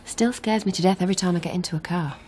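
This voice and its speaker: An actress playing a guarded, defiant young con artist in a naturalistic radio drama. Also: female voice, on the low side, rapid-fire, darkish tone, a little hiss or hum, natural voice. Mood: resigned. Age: young adult.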